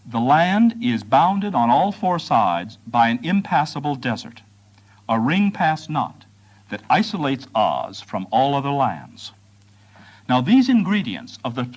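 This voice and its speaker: A man delivering a prepared lecture in measured, deliberate phrases, pausing for emphasis. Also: mid-range voice.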